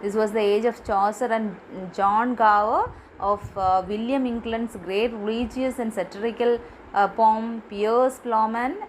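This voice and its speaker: A woman giving a literature lecture from her notes, pausing often with fillers and restarting words. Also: mid-range voice, a little hiss or hum, low-quality recording. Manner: halting, many fillers and restarts. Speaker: teacher.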